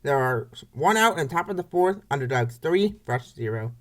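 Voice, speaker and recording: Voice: medium pitch, animated, normal speed. A baseball play-by-play announcer reading scripted commentary for a comic dub in short, clipped phrases.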